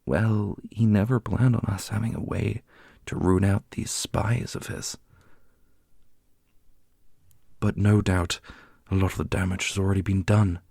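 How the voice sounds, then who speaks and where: A man playing a solemn, strategic warrior leader in a fantasy audio roleplay, speaking in a formal, measured way. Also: lowish voice, fairly even intonation, gravelly, a little mellow, dry recording, clean studio sound. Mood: grave.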